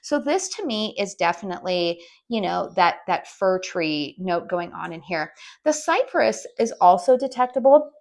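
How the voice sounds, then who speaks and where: A woman with a raspy voice from a head cold, casually reviewing a candle outdoors in a chatty way with lots of ums. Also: medium pitch, lively intonation, normal speed. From US West Coast. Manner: chatty, frequent ums and restarts. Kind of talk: casual product review vlog. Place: outdoors with birdsong.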